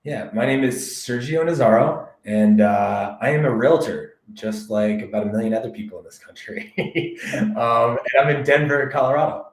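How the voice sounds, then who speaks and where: A man from the United States, a realtor guest on a podcast, telling his story in a candid, reflective, measured way. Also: medium pitch, echoey room. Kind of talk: podcast interview.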